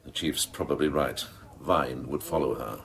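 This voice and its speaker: A man's voice: fairly even intonation, normal speed, a crowd in the background. A man playing a scheming, gleefully cynical senior police officer in a radio drama, with a theatrical, sardonic way of relishing his words. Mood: sly, sardonic. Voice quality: very deep, gravelly.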